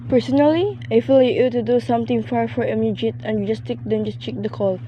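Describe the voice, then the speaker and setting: A woman's voice: on the high side, steady tone, fairly quick, highs cut off, slight background noise, lightly acted. A woman playing an anxious, demanding invalid housewife in a radio play, indignant, fussy and insistent. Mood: demanding, exasperated.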